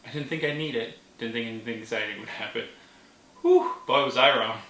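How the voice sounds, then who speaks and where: A person telling a story in a casual vlog manner, animated, with playful exclamations. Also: medium pitch, fairly quick. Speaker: vlogger. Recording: roomy, distant mic with faint noise.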